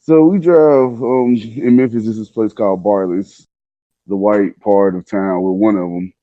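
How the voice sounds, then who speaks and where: A man's voice: lowish voice, steady tone, a little slow, dry recording, dull audio. A man telling a personal story in casual slang, laid-back and conversational, acting out the dialogue as he goes.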